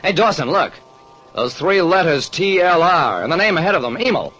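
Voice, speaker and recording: Shrewd gumshoe, wry narration, husky, animated pitch, muffled old radio crime serial. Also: male voice, moderate pace, full character voice. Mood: wry, probing. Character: shrewd, wry hardboiled male private detective narrator. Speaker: narrator and lead actor.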